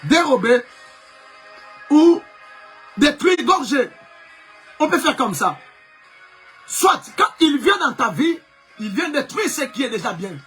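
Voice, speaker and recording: A man preaching a sermon with a Congolese French accent, whose first language is Chokwe. He preaches fervently, often shouting and repeating phrases for emphasis. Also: very high voice, big pitch swings, slow pace, long pauses, faint music behind. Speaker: pastor. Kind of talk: live-streamed preaching sermon.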